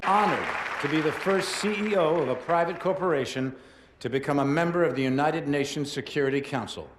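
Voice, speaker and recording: A man playing an arrogant, menacing corporate military chief who gives a formal, defiant speech in a deliberate, commanding way. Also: a little high, a loud crowd, heavy background noise, natural voice. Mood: measured, smug. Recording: cinematic music behind.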